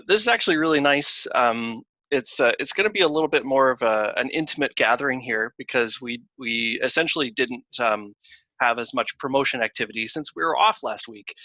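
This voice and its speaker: A man hosting a casual webinar over a video call, talking in a halting way with many fillers and restarts. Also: medium pitch, pushed and bright, no room sound. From Canada. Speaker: webinar host, consulting firm founder. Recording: dull lo-fi video call audio.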